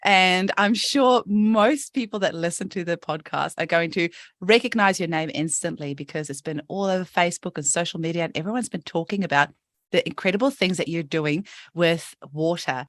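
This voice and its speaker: A woman's voice: lowish voice, fairly bright tone, no echo, clean studio sound. A woman with a New Zealand accent hosting an interview podcast, bubbly and chatty, laughing often and asking rambling questions. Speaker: podcast host. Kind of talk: casual interview chat.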